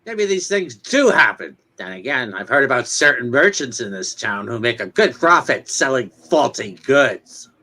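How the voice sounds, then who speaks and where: A man's voice: mid-range voice, big pitch swings, moderate pace, few pauses, pushed and bright, exaggerated voice. A man playing a hostile, suspicious small-town customer, curt and accusatory, in a dramatic comic-book reading. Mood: accusing.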